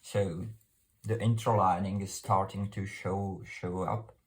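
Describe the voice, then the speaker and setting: A man with a Czech accent, a native Czech speaker, presenting an informal unscripted explainer in a halting, hesitant way with formal phrasing. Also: low voice, fairly even intonation. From Czech Republic.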